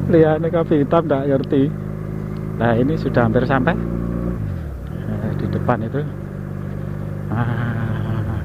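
A man's voice: mid-range voice, vocal fry. A man with an East Javanese accent hosting a casual riding vlog, chatty and joking at his own expense as he rides through town to a cafe. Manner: chatty, joking, laughs at himself. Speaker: motovlogger host. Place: riding a motorbike on city streets, then a cafe. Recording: dull sound with loud traffic noise.

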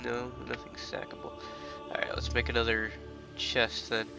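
A man's voice: medium pitch, moderate pace, vocal fry, loud music behind the voice. Congested young man narrating a game. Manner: rambling and chatty, with sudden excited outbursts. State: congested from illness. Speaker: gaming channel host. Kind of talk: casual let's play commentary. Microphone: headset.

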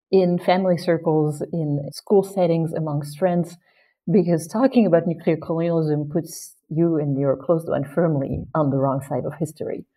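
Woman guest from French Polynesia, lowish mellow voice, measured and articulate. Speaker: literature professor, guest. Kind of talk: interview answers.

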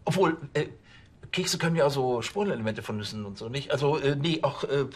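An actor playing a fussy, eager-to-please police station chief, stammering and obsequious, in a TV comedy crime series. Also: male voice, medium pitch, lively intonation, brisk, close to an everyday voice. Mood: bossy, fussy.

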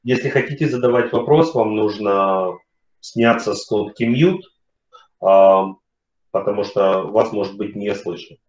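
A man's voice: fairly low pitch, a little mellow, dull audio. Hesitant man on a roomy video call. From Kharkiv, Ukraine. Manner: hesitant, many fillers and pauses. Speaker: webinar speaker, company CEO. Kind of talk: casual webinar talk and Q&A. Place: home room, indoors. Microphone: webcam on video call.

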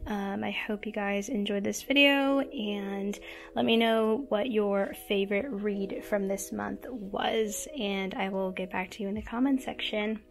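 A woman's voice: mid-range voice, unhurried, creaky. A young woman casually chatting through her monthly reads at home, with lots of ums and likes. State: voice going hoarse. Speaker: book and manga vlogger. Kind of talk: casual monthly reading wrap-up. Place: home, by a bookshelf.

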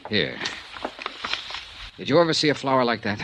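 A man's voice: medium pitch, very animated, moderate pace, fairly bright tone, slight background noise, poor audio. An American man playing a terse, world-weary insurance investigator in a 1950s-style radio drama. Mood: terse, pressing. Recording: old radio drama recording with organ music.

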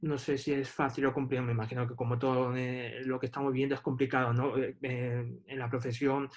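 A young man interviewing over a video call, asking questions in a hesitant, halting way with many fillers. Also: mid-range voice, little pitch movement. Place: dim indoor room. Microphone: video call webcam.

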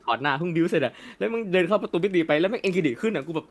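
A young man in a casual chat, blunt and animated, swearing freely as he rants. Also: high-pitched, rapid-fire. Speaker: co-host. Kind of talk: casual chat about a film.

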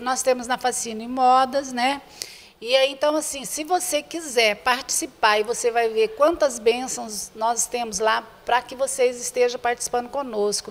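An older Brazilian woman with a fairly high voice speaks as an interview guest. She is earnest and repeats her points, addressing viewers directly. The handheld mic picks up a noticeably reverberant room. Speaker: association president, interview guest. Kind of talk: spoken interview inviting the public to a charity event. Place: indoor room with bare walls. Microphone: handheld reporter's microphone held before her.

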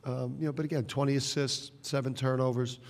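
Blunt coach, flat voice, echoey room. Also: male voice, medium pitch, brisk. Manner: blunt, emphatic, many restarts and repeated words. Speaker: basketball head coach. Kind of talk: postgame press conference answers. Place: press conference room. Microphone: table microphone. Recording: reverberant press room.

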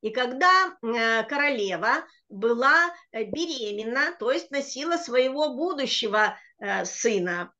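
Middle-aged woman teacher, bright voice, patient and deliberate, lo-fi video call. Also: fairly high pitch, animated. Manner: patient, slow and deliberate when sounding out words. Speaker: Hebrew reading teacher. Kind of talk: online language lesson. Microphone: computer mic on a video call. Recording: dull lo-fi video call audio.

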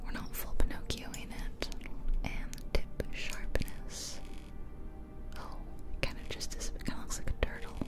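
A woman's voice: unhurried, loud music behind the voice. Woman, full whisper, close ASMR mic, game commentary, musing and chatty, addressing the listener. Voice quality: unvoiced whisper throughout. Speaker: ASMR game creator and host. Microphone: close ear-to-ear ASMR microphone.

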